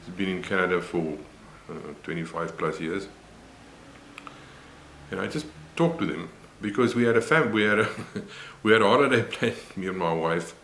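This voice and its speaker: Deep-voiced older Afrikaans-man. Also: vocal fry, fairly bright tone, roomy sound, slight background noise, rough recording. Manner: unhurried, reflective, many pauses and fillers. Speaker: vlogger. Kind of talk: personal health vlog.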